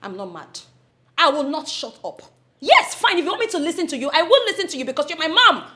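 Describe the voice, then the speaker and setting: A woman's voice: high-pitched, sing-song, quick pace, full character voice. An actress playing a defiant young woman who accuses her uncle and demands her father's company accounts. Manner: defiant, accusing, rising to near-shouting. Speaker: daughter confronting her uncle. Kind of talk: film drama acting.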